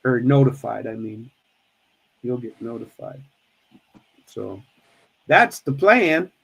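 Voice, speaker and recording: A man's voice: mid-range voice, very animated, slow pace, vocal fry, fairly bright tone, slightly rough, poor audio. A man in his sixties hosting a live stream, chatting casually with family, rambling and joking with many restarts. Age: 60s.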